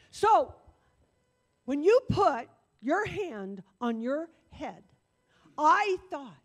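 Older woman preaching in drawn-out emphasis. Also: fairly high pitch. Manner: earnest, emphatic, stretching key words. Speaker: minister. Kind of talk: sermon. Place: church meeting room, indoors.

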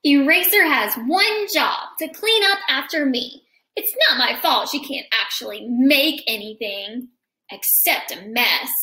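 A bossy, smug storybook pencil, voiced by a woman with an American accent. The voice is high and bright, delivered slowly and deliberately. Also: animated, roomy sound, heavily acted, low-quality recording. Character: bossy, dismissive pencil who belittles the eraser. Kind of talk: children's storybook character reading.

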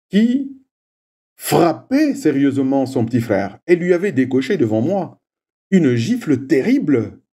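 Husky, warm-voiced male preacher. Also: mid-range voice. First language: Swahili. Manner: slow, measured, with frequent "hein" asides. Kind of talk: devotional prayer and homily.

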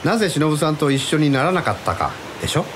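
A man's voice: a little high, very animated, fast talker, a bit bright, a little hiss or hum. An actor playing an easygoing, wistful man in a radio drama, speaking casually and reflectively. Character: easygoing, wistful man confiding about lost love. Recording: music behind the voice.

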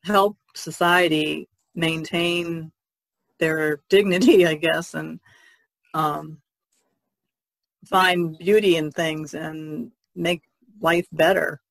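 A woman talks casually in a podcast discussion. Her voice is creaky and stays fairly flat in pitch, with a close, dry sound. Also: extremely slow, pauses often, no echo. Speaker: podcast panelist.

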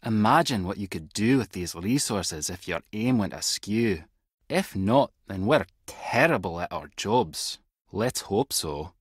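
An actor playing a wry, clever, tech-savvy spy agent with a Scottish accent and a husky voice in an adventure game. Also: male voice, fairly low pitch, dry recording, clean studio sound, exaggerated voice. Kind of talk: scripted adventure game dialogue.

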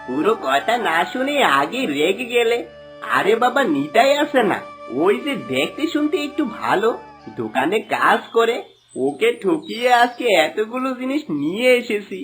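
A man playing a sly, greedy, boastful village swindler in a cartoon moral story, with an animated, coaxing manner. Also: high voice, normal speed. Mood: dismissive. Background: background music.

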